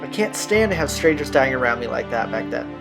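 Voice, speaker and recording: A man hosts a playthrough, reading narrative text aloud in a middle-pitched voice. His delivery is informal and slangy. Game music plays clearly behind him. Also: sing-song, fairly quick. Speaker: let's-play host reading a visual novel aloud. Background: visual novel soundtrack.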